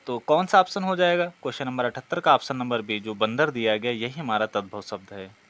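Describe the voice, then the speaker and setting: A man's voice: mid-range voice, brisk, a bit bright. A man teaching an exam practice lesson, reading out questions and explaining the answers in a didactic way, often asking and answering himself.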